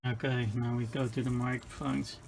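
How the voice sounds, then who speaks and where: A man who is not a native English speaker gives casual hands-on repair commentary as host, halting and thinking aloud in a gravelly voice. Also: mid-range voice, little pitch movement, darkish tone. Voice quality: gravelly, creaky. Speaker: host of a radio restoration channel. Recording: clearly noisy home-made recording.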